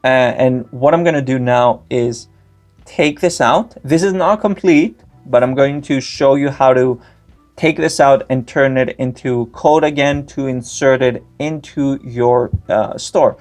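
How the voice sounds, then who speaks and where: A man's voice: mid-range voice, a little slow, a little mellow, faint music behind. A young man whose first language is Greek, giving a step-by-step screen-recorded tech tutorial with frequent filler sounds. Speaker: tutorial host.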